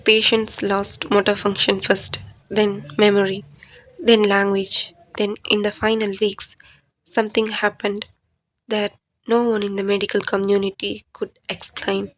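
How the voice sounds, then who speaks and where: A woman narrating a scripted horror story as a podcast storyteller, with deliberate, dramatic phrasing. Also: mid-range voice, flat delivery, rough recording.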